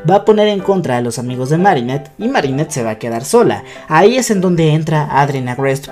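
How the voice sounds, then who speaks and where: A man's voice: on the high side, darkish tone. A young adult man giving fan commentary as a YouTuber, talking directly to his viewers. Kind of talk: scripted-feeling fan commentary to camera. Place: small bedroom, indoors. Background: background music.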